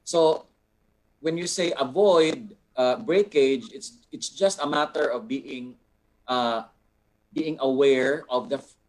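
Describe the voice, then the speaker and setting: A man with a Filipino accent and a medium-pitched voice answers questions as an art instructor. He explains things deliberately and informally, often pausing as he searches for the right words. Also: low-quality recording.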